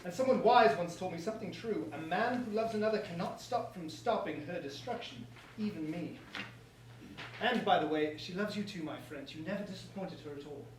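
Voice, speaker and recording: A man playing a pompous, indignant but soft-hearted young prince in a staged verse comedy, speaking theatrically. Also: high voice, brisk, natural voice. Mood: moved, teasing. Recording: very echoey room, distant mic, noise.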